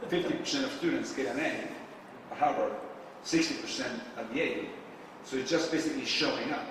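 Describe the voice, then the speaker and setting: A man from Argentina giving a casual talk to a live audience, with many filler pauses and questions put to the crowd. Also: mid-range voice, noisy background. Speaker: conference speaker. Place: event space with a live audience. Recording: echoey hall, distant mic.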